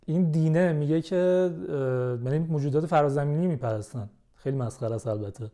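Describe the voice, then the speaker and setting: A man's voice: a little high, warm tone. A man speaking as a podcast guest, explaining things in casual conversation.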